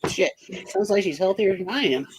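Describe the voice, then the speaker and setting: An easygoing woman chats on a livestream with a friend. She chimes in with her own loose, offhand anecdotes. She sounds relaxed throughout. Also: mid-range voice, moderate pace, husky. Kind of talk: casual chat.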